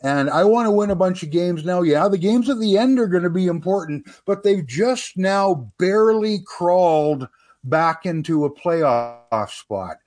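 Man, fairly high voice, conversational, folksy, sports radio commentator. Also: animated, a little slow, darkish tone. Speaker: sports writer and commentator guest. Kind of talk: casual sports radio discussion.

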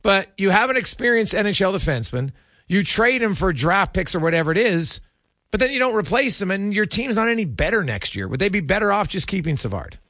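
A man's voice: high voice, very animated, brisk, bright, forceful tone, dry recording, clean studio sound. A man hosting sports talk radio, asking questions in a conversational interviewing style.

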